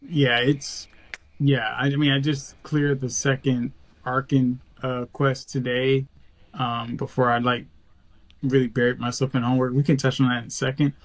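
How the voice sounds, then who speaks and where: A man's voice: medium pitch, flat delivery, normal speed. A man chatting casually as a podcast co-host. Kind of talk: casual chat.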